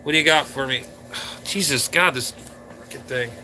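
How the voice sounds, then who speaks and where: A man's voice: fairly high pitch, normal speed, noisy background. A man hosting a casual live stream, rambling playfully and talking straight to his viewers from a room indoors.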